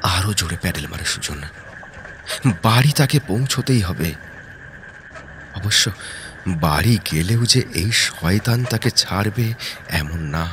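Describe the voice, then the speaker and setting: A man narrating a horror story in a slow, hushed, dramatic way, dropping to a whisper at times, close to the mic. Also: low-pitched, expressive, noisy background. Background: eerie background music.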